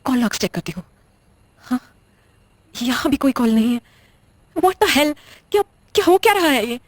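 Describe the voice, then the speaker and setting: A woman playing a confused, uneasy young widow who talks haltingly in an audio horror drama. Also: sits high, expressive, no room sound. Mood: baffled. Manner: hesitant, halting, muttering questions to herself.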